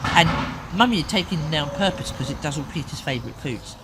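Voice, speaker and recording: A woman telling an improvised bedtime story to a child, chatty and expressive, with asides to the listener. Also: low voice, fast talker, heavy background noise. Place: in a tent.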